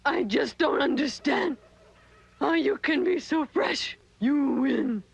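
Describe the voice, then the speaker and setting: A woman's voice: high-pitched, animated, slow, vocal fry, exaggerated voice. A woman voicing a big, blustering, easily astonished male elephant in a cartoon story. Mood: worn out, conceding. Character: big bewildered male elephant, honest in defeat.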